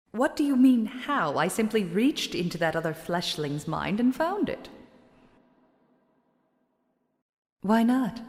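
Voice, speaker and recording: An actress as a haughty female shadow creature from a video game, utterly in love with herself. She talks down to everyone in a theatrical, boastful manner, at a medium pitch. A long reverb trails her voice. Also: lightly acted. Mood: dismissive. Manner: self-absorbed, theatrical, condescending, boastful. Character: vain, haughty female shadowling merchant, floating spirit creature. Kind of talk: dramatic video game voice acting.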